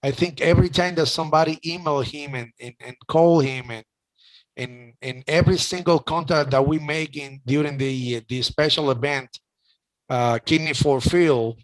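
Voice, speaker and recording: A man's voice: a little high, normal speed, rough recording. A middle-aged man with a Cuban accent hosting a casual chat, talking emotionally with many restarts. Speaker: host.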